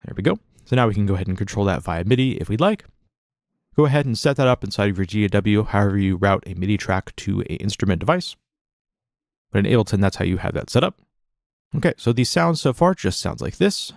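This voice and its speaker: Fast-talking low-voiced male tutorial instructor. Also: animated, gravelly, no echo, clean studio sound. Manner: conversational, explanatory. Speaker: music production tutorial instructor. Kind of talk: casual software tutorial narration.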